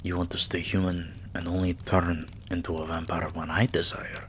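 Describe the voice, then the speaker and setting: A man playing a tender, devoted vampire king in a romantic ASMR roleplay, speaking in a hushed, breathy, soothing way that often slips into a whisper. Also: deep voice, flat delivery, slight background noise. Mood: loving, solemn. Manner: hushed, slow, soothing, affectionate.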